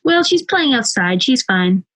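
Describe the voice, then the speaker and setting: A woman's voice: a little high, sing-song, measured pace, fairly bright tone, exaggerated voice. A woman playing an anxious, hesitant housewife and mother in an amateur audio drama. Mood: nervous, evasive.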